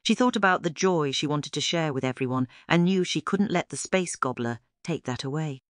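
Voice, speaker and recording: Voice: mid-range voice, animated, moderate pace, no echo, studio quality. A narrator reading a scripted children's story aloud as a storyteller.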